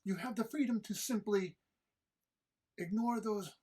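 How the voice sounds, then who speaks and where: A woman playing a suave male devil in an audio drama read-through, speaking formally and deliberately with smug, arch menace. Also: medium pitch, warm tone, slightly rough. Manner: formal, deliberate, archly sinister phrasing. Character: Old Scratch, suave devilish male fiend, smugly menacing.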